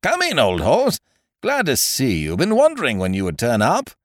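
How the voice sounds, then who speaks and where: A man's voice: mid-range voice, huge pitch swings, normal speed, no echo, clean studio sound, voice pushed up. A man voicing a breezy, overfamiliar male scrounger in a story, effusive and cajoling with old-fashioned slangy chumminess. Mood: hearty greeting. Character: breezy male scrounger, wheedling, overfamiliar, falsely hearty chum.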